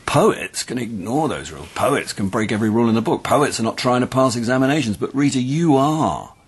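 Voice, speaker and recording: An actor playing a wry, patient middle-aged university tutor in a radio play, with an English accent and a measured, donnish, sometimes hesitant delivery. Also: male voice, mid-range voice, expressive, breathy edge. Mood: patient, instructive.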